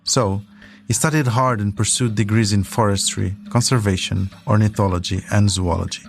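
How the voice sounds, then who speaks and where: A man's voice: on the low side, steady tone, moderate pace, creaky. A man hosting a podcast, reading scripted documentary narration as a storyteller. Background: music.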